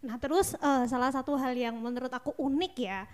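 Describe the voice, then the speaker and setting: A woman's voice: high-pitched, fairly quick, darkish tone. A woman with a Javanese accent, hosting a casual discussion and explaining things to listeners conversationally. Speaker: podcast discussion host.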